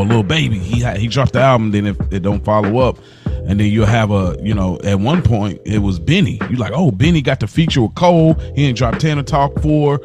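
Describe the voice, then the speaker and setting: A man with a fairly low voice chats as a podcast co-host. He talks loosely and informally, full of slang and the word "like", with music clearly audible alongside him. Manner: informal, slangy, repeats "like" often. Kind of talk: casual chat about films and music.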